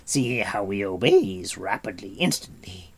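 A man's voice: on the low side, very animated, a little slow, husky, full character voice. A man voicing a groveling, greedy, hairy forest creature who wails and pleads, in a storybook reading. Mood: wailing. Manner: wailing, pleading, talks of himself by name.

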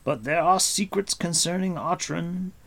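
A man voicing a wise, commanding warrior in a storybook, grave and patient, speaking formally and with deliberate emphasis. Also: sits high, creaky, fairly bright tone, voice pushed up, exaggerated voice. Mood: grave warning.

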